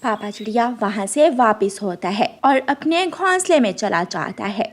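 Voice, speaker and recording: A woman narrating a scripted children's story in an expressive storytelling style. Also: medium pitch, measured pace.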